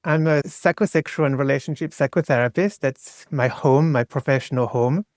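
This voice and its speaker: A man's voice: mid-range voice, normal speed, a little mellow, no room sound. Reflective French-accented man, close dry sound. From France. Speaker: podcast guest, psychosexual psychotherapist. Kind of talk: conversational interview.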